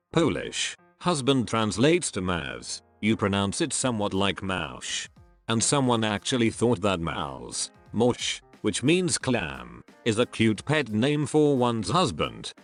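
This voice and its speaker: Low-voiced man reading posts aloud. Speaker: narrator reading online posts. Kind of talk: scripted narration.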